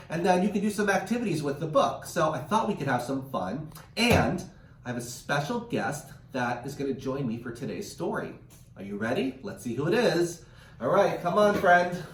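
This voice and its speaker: Animated higher-voiced man reading to children. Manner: encouraging, expressive, chatty explanations. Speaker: teacher reading a picture book aloud to children. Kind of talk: virtual read-aloud with asides to children and parents. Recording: roomy, distant mic with faint noise.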